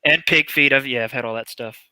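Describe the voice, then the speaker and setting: A man from Tennessee, chatting on a video call in an animated, complaining way. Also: medium pitch, clean tone, no room sound, poor audio. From Tennessee, US South. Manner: animated, complaining, quick with laughs. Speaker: guest on a live chat. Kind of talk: casual group chat.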